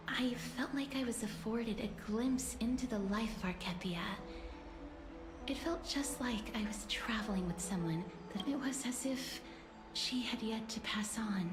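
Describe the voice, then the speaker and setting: A woman voicing a polite, reserved young woman in a fantasy game, soft-spoken, formal, hesitant and wistful. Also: a little high, reverberant, close to an everyday voice. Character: gentle, melancholy young female poetry lover, polite and reserved. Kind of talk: fantasy video game acting.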